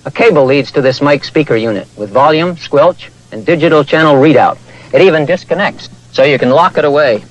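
A man with an American accent giving a scripted product pitch in a 1970s television commercial. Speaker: commercial announcer. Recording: noisy vintage TV ad audio.

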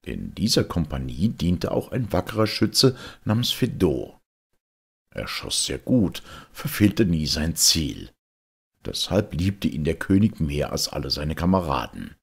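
Male storybook narrator, deep and very husky, expressive theatrical delivery. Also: a little slow. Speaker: audiobook reader. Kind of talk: storybook fairy-tale narration.

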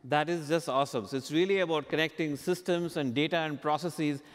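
A man's voice: fairly high pitch, fairly quick. A man in his forties hosting a customer interview on a conference stage, speaking into a headset microphone. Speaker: keynote host interviewing a customer. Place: large conference stage, indoors. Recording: large hall reverb on stage.

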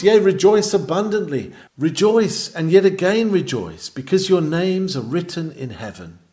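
A man reads a historical sermon with earnest, oratorical emphasis. His voice is mellow and mid-pitched, and it rises and falls in a very lively way as he works through the formal text. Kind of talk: sermon read aloud from a script.

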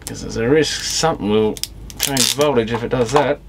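A man's voice: mid-range voice, normal speed, creaky, background noise. A man giving casual, unscripted commentary as he tinkers with electronics, thinking aloud in fragments and trailing off. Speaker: electronics repairer and video host.

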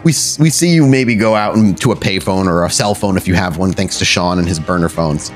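A man's voice: sits low, a little fast. A man narrating a tabletop horror game as storyteller, in a casual, conversational style. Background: faint music.

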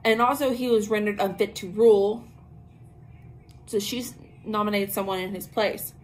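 A woman's voice: medium pitch, steady tone, moderate pace, low-quality recording. A young woman hosting a casual witchcraft talk, speaking informally to camera with frequent ums and slangy asides. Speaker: host of a witchcraft talk channel. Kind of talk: casual spoken lesson to camera. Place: small bedroom with tapestries. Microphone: camera at arm's length.

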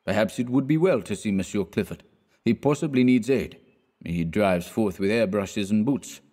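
A man's voice: on the low side, moderate pace, mellow, close to an everyday voice. A man voicing a sardonic older male concierge who grumbles with dry irony. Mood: dry ironic.

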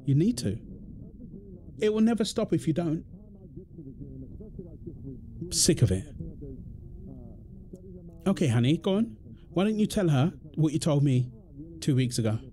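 Exasperated British husband, swooping pitch acted by a man. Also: big pitch swings, measured pace, pauses often, warm tone, a little hiss or hum. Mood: angry, fed up. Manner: indignant, emphatic, confrontational. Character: exasperated, protective husband arguing with his overbearing mother-in-law. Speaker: audio roleplay voice actor. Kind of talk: ASMR roleplay drama monologue.

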